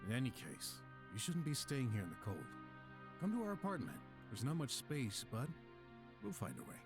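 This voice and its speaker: A man's voice: mid-range voice, very animated, moderate pace. A man playing an earnest, stubborn, kind-hearted young detective in a video game, protective and insistent. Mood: concerned, earnest. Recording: game music behind the voice.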